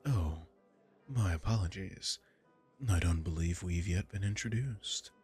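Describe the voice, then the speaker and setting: A man voicing a suave aristocratic male vampire lord in an ASMR roleplay, smooth and teasing. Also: low-pitched, a little slow, darkish tone, soft background music. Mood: smooth menace.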